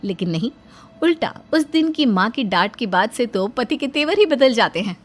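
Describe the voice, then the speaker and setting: A woman's voice: fairly high pitch, expressive, normal speed. A woman storyteller giving scripted, dramatic narration of a family tale.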